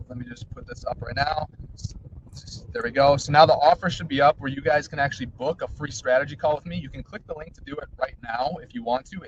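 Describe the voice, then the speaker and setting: A man hosting a webinar in a casual, rambling way, with lots of fillers and restarts, talking from a moving vehicle. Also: medium pitch. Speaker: webinar host. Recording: dull lo-fi sound with faint noise.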